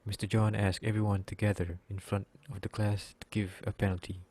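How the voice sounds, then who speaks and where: A male narrator reads a scripted drama aloud. His voice is low and very mellow, and his delivery is a little halting, with stumbles over the text. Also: little pitch movement, husky. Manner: halting reading with slips.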